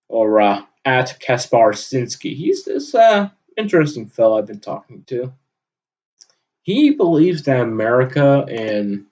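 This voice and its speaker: A dull, lo-fi recording of a man talking off the cuff in a fairly low voice. He rambles through an unscripted monologue in a halting way, often pausing and letting sentences trail away. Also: a little mellow. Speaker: vlogger recording an audio log.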